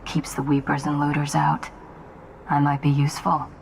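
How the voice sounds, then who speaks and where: A blunt assistant killer with a low, flat, gravelly voice. She talks dry and matter-of-fact. Her voice rings in a reverberant space with clear background noise. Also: normal speed. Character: blunt young woman assassin, pragmatic lieutenant.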